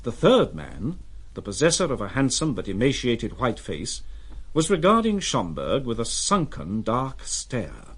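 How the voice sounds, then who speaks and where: A man acting as narrator of a literary adventure drama, telling it in a measured, descriptive way with a husky voice. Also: medium pitch, very animated. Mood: measured, vivid.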